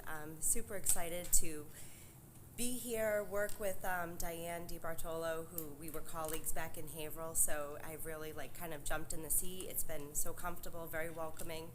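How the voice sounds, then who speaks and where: A woman, a school nurse introducing herself at a meeting in a chatty, excited way. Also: sits low, normal speed, noisy background. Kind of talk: formal self-introduction at a meeting.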